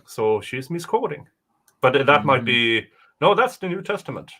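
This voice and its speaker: A man's voice: medium pitch, sing-song, moderate pace, sharp tone. A man co-hosting a talk show, reading written passages aloud and chatting casually about them. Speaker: podcast co-host reading a document aloud.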